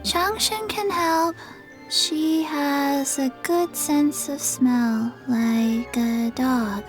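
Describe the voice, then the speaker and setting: A small undead girl, deadpan and halting in a video game. She speaks very slowly in a high, flat voice. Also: music in the background. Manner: slow, flat, halting delivery.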